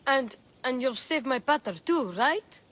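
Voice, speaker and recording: An actress voicing an eager, excitable young child full of questions in a video game cutscene. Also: female voice, high voice, unhurried. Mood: hopeful. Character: eager young child asking after missing father.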